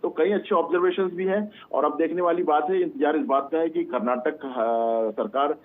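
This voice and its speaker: Halting male reporter on a phone-like line. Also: a little high. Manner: halting, frequent hesitations and repeated words. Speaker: news correspondent. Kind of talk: live unscripted news report. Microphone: phone or remote link.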